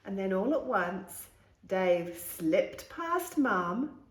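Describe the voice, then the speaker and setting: A woman reading a children's picture book aloud in an animated way, with exclamations and asides to young listeners. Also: mid-range voice, extremely slow. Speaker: storytime reader. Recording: roomy, echoing room sound.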